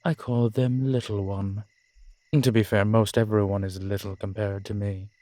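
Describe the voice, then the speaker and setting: A man playing a suave, cultured vampire in an audio roleplay drama, speaking in a measured, formal, understated way with dry wit. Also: lowish voice, level tone, dark tone, no room sound, close to an everyday voice. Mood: courtly.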